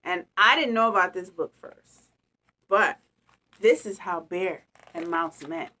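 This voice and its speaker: A woman reading a picture book aloud, expressive and playful, with chatty casual asides to children. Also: lowish voice, a little slow, low-quality recording. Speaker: storytime reader.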